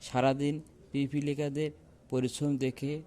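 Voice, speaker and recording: A man's voice: medium pitch, level tone, unhurried, warm tone. A man reading a scripted fable as a storyteller.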